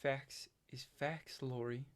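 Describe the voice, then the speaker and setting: A man's voice: mid-range voice, fairly even intonation, takes it slowly, natural voice. An actor playing a troubled, literal-minded rural young man in a stage play reading, stammering and repeating his words. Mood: agitated, insistent.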